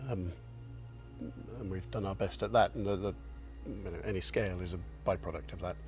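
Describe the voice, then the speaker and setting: A man in his sixties answering interview questions in a reflective, modest way, pausing and restarting as he thinks. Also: sits low, steady tone, darkish tone, faint music behind. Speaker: company founder and chairman.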